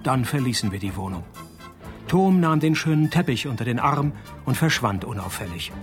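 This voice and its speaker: An actor playing a wry, cynical private detective who narrates a parody noir radio play in a laconic, deadpan way. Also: male voice, mid-range voice, moderate pace, faint music behind, lightly acted. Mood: deadpan narrating.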